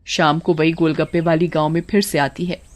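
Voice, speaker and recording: A woman's voice: low-pitched, flat delivery, fairly quick. A woman storyteller reading scripted narration for a village tale.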